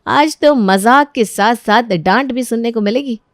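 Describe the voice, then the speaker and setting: Woman voicing a scheming high-voiced jealous sister-in-law. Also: a little slow, no room sound, studio quality, natural voice. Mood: gloating. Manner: gloating, falsely sweet. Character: scheming, jealous young elder sister-in-law, sweetly two-faced. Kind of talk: melodramatic storybook acting.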